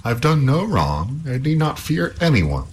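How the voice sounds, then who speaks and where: Casting: male narrator as a defiant, fearless young storybook hero, raised pitch, lively swings. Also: measured pace, husky. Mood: stubborn defiance. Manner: defiant, emphatic.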